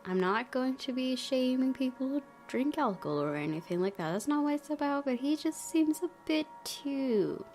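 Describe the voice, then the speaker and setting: A woman's voice: sits high, expressive, normal speed, hardly any pauses, a little mellow. A woman hosting a let's play, reading visual novel text aloud and adding casual comments. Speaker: gaming video host. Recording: game music under the voice.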